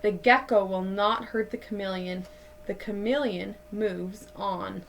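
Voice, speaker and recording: A woman in her thirties reading a picture book aloud to children like a teacher, with deliberate, dramatic emphasis. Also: mid-range voice, fairly even intonation, gravelly. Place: small indoor room at home.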